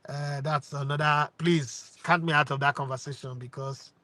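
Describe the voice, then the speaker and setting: A man in his forties from Nigeria with a Nigerian accent, hosting his sports channel with lively rhetorical questions and bits of Pidgin. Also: a little high, a little slow. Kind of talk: opinionated talk to camera.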